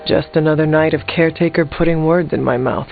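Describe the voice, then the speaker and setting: A snarky male hero from a superhero video game. His voice sits a little high and carries wry irreverence. It sounds narrow and phone-like, with faint music behind. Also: steady tone, brisk, gravelly. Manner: sarcastic, wry.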